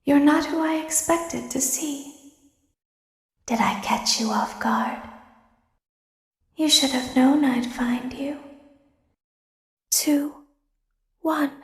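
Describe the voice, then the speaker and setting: Creepy girlish robot, high soft voice, very slow taunts, echoey hall, video game. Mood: eerie, coy. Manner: soft, whispery, deliberate. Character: eerie, soft-spoken girlish animatronic, quietly vengeful and taunting. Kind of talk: video game character voice lines.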